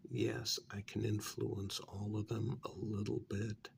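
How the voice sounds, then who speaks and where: Man playing a slow, gravelly, soft-spoken vampire mediator. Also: lowish voice, little pitch movement, a little hiss or hum, exaggerated voice. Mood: measured, firm. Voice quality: breathy, half-whispered, gravelly. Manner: measured, halting, chiding. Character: calm, reproachful male vampire mediator addressing a prisoner. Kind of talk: soft-spoken ASMR role-play.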